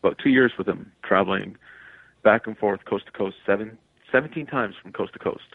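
A man speaks over a narrow, tinny phone line with no room sound. His voice sits fairly low, and he tells stories in an easy, conversational style as an interview guest. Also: fairly quick, gravelly, dry recording. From Canada. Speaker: interview guest, stage entertainer. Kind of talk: casual conversational storytelling.

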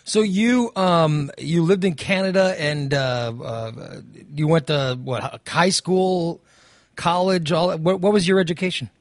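Man, fairly high-pitched, dry close sound, radio host, informal questioning, halting, repetitive phrasing. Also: dry recording. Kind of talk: casual, informal interviewing.

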